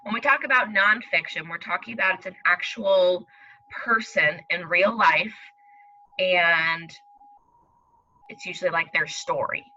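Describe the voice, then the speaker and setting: A woman's voice: fairly low pitch, measured pace, sharp tone, muffled recording, slight background noise. A woman teacher leading a read-aloud lesson for young children, explaining step by step and speaking directly to them. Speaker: elementary school teacher.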